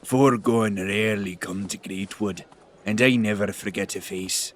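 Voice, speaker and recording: A man playing an elderly, stern elf gatekeeper in an audio drama, speaking in a measured, formal, haughty way. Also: fairly low pitch, fairly even intonation, a little hiss or hum, lightly acted. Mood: cold disdain.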